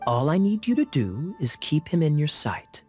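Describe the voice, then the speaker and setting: A charming young knight captain speaks with a teasing, knowing lilt, his voice swinging lively up and down. Soft game music plays behind. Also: fairly high pitch, normal speed. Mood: sly. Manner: playful, smooth, knowing. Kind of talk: video game voice acting.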